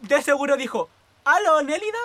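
A woman playing an excitable, fearful housemaid from the south in a radio play, with a Chilean accent and a breathless, deferential, rambling delivery. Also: high voice, brisk, dry recording. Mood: flustered, evasive. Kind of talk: Spanish-language radio theatre acting.

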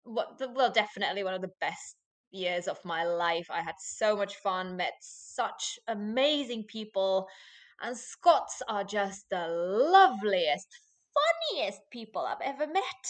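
Loud, animated woman guest. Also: medium pitch, a little slow. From Finland. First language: Finnish. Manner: loud, animated, laughs often. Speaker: podcast guest. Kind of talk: casual interview chat.

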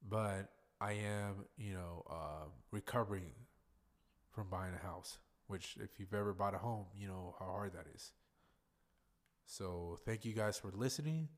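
A man with a somewhat low voice hosts his own podcast, rambling casually on his own. He is congested and sounds plainly nasal, and he swears freely as he talks. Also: unhurried. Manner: rambling, profane, loose and chatty. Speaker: podcast host. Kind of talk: casual solo podcast monologue.

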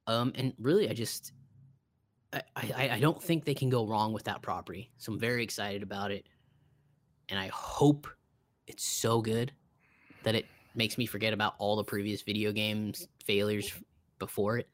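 Low-key man in casual chat, dry sound. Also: steady tone, normal speed, no room sound. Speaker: podcast co-host. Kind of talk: casual conversational film and game chat.

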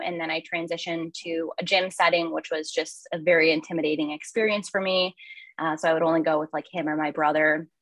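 A woman's voice: on the low side, a bit bright, no echo. A young woman who coaches nutrition, speaking as a podcast guest in a friendly, thoughtful way with many fillers. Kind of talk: casual podcast interview.

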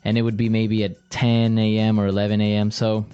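A man co-hosting an English lesson podcast, upbeat, laughing often, slowing down to model phrases. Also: fairly low pitch, monotone, dark tone, smooth, dry recording, lo-fi sound, faint music behind. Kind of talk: casual teaching chat.

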